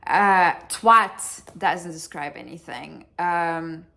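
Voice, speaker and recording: A woman's voice: fairly low pitch, very slow, vocal fry, pushed and bright. A young woman with a Russian accent, speaking English as a second language in a blunt, chatty vlog, swearing freely and poking fun at herself. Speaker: vlogger. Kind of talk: casual chat to camera.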